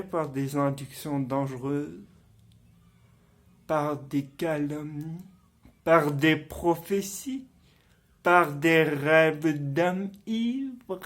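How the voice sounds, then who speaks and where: A bitter, misshapen nobleman schemes in a Shakespearean stage monologue. His speech is extremely slow and deliberate, declaimed with theatrical weight and villainous intent. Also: sits high, a bit bright, heavily acted. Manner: declamatory, deliberate, dramatic delivery.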